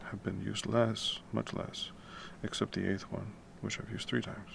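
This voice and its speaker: Deep baritone guy mumbling quietly late at night, super gravelly, noisy room w typing behind. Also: unhurried. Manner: relaxed, rambling, swears casually; talks quietly to keep the noise down. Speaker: music composition streamer. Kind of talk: casual late-night talk while working. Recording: noticeable background noise with typing.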